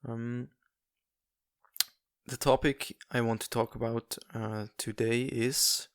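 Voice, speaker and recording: Swiss non-native podcast host, young man, slow and monotone, lots of hesitations, dry close sound. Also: medium pitch, dry recording. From Switzerland. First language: not English. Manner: halting, many fillers and restarts. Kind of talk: casual solo monologue.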